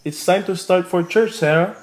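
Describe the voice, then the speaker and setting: A man acting a devoted, gently teasing husband in a radio play, affectionate and reassuring. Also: sits high, normal speed, very noisy, natural voice. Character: devoted, teasing, dismissive husband, Tom Moonlight. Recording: radio play with loud music behind.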